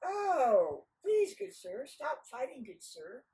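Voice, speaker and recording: A woman's voice: high-pitched, wildly swooping pitch, takes it slowly, mellow, distant mic, slight background noise, voice pushed up, exaggerated voice. A woman voicing a hapless, confused and eager young boy in a storytelling, wailing and repeating phrases in exclamations. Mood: pleading.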